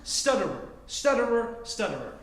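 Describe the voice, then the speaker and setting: A man in his twenties telling a story on stage, choosing and enunciating each word carefully, with deliberate pauses. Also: sits high, very animated, very noisy. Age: 20s. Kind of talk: live storytelling performance. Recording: roomy live-venue sound.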